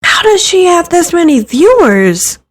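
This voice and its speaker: A woman voicing an excitable, incredulous teenage girl who exclaims questions to herself in a story reading. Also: extremely high pitch, measured pace, pitched above the performer's own voice, full character voice. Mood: astonished.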